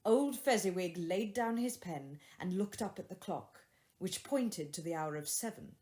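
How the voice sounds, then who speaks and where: A woman reading literary fiction as an audiobook narrator, with expressive scripted delivery. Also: on the low side, a little slow.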